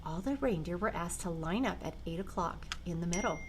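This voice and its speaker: Older woman reading a kids story, gentle, bit of hiss behind. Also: lowish voice, lively intonation, fairly quick. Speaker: storyteller grandmother. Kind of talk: reading a picture book aloud.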